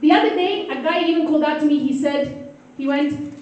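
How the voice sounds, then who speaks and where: High-voiced woman joking to a crowd. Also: brisk, darkish tone, recorded from a distance. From Kenya. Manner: playful, teasing the audience. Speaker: stand-up comedian. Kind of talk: stand-up comedy set. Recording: echoey hall heard from afar with crowd. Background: audience laughter and crowd murmur.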